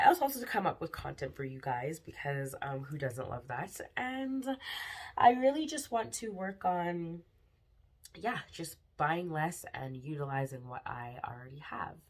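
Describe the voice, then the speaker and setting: A young woman with a slightly raspy voice from a cold, chatting through a casual nail polish haul vlog with lots of ums. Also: low-pitched. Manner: chatty, frequent ums, bubbly about products.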